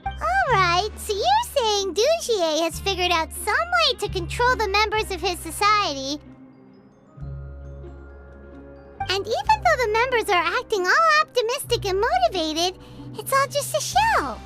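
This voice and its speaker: An actress voicing a tiny, excitable female companion sprite in a video game, chatty and blunt, speaking of herself by name. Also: very high-pitched, moderate pace. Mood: confused. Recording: game audio with loud music.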